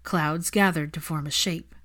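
A woman narrates fiction from a script. Her voice sits a little low for a woman, in the style of an audiobook reading. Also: fairly even intonation, unhurried, fairly bright tone.

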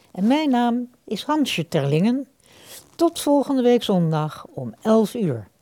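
A woman's voice: mid-range voice, mellow. A woman announcer reading scripted closing credits for a radio programme.

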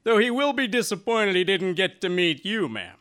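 A man's voice: high-pitched, very animated, normal speed, fairly bright tone. A man playing a folksy, easygoing circus strongman in an audio drama, with a hearty manner.

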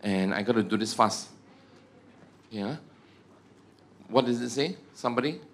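A man's voice: medium pitch, measured pace. A man with a Malaysian accent giving a humorous teaching talk to a church group, joking at his own expense and tagging sentences with "ah" and "huh". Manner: joking, self-mocking, tags sentences with "ah" and "huh".

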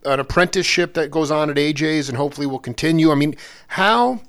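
Male interviewer, fairly high voice, loose slangy halting questions. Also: fairly bright tone, smooth. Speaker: interviewer host.

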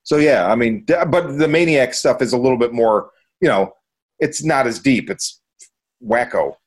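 A man's voice: mid-range voice, lively intonation, normal speed. A man hosting a podcast, chatting casually in a rambling, enthusiastic way. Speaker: podcast host. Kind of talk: casual review chat.

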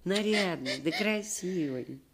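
An old country grandmother in a radio drama, scolding and doting in folksy dialect. The voice is husky and slow, swinging widely in pitch, with music underneath. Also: animated, full character voice. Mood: tearful coaxing. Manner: folksy dialect speech with lamenting exclamations. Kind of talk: radio play acting.

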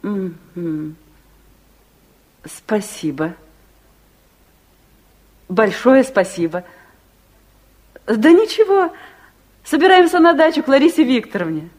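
A fussy middle-aged mother in a 1960s Soviet radio drama. Her voice sits fairly high and swings widely in pitch. She is practical and expressive, quick to get exasperated, with a soft heart underneath. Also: full character voice. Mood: politely apologetic. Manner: expressive, fussing, theatrical.

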